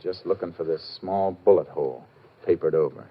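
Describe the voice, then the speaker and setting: An actor playing a tough, dogged Texas Ranger in a 1950s radio crime drama, terse, needling and dryly sardonic. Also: male voice, deep voice, brisk, natural voice. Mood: needling, menacing. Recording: muffled, noisy 1950 radio recording.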